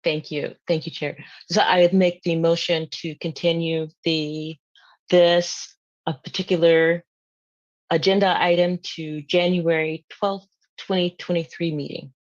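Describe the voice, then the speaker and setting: A woman's voice: lowish voice, little pitch movement, a little slow, no echo, lo-fi sound. A woman planning commissioner making a motion, hesitant and stumbling over her words.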